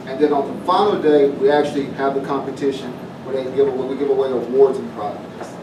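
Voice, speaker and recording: A middle-aged man from southwest Virginia, giving a plainspoken, informal speech to an audience at an evening community event. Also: on the high side. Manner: folksy, plainspoken, repeats phrases. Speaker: former pro football player announcing a festival. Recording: roomy, distant mic, noisy event.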